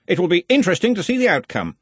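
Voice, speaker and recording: A man acts out a commanding old-guard wizard in a fantasy storybook. He is loud, brisk and jovially threatening, pitched up with big swoops and a husky edge. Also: huge pitch swings, no room sound, exaggerated voice. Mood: cheerful, pointed. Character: commanding, jovial but menacing senior male wizard, university head.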